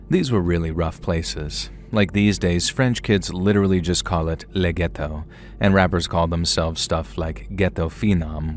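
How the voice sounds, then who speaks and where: Deep-voiced man telling a horror story. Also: darkish tone, slight background noise. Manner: conversational storyteller, casual asides. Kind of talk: first-person horror story narration.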